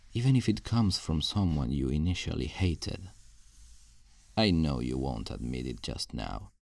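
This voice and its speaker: Man playing a very deep-voiced, possessive kidnapper. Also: vocal fry, dry recording, clean studio sound, full character voice. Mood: possessive tenderness. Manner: slow, hushed, coaxing, condescending endearments. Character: possessive, controlling male kidnapper, falsely tender and menacing. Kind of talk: ASMR roleplay audio drama.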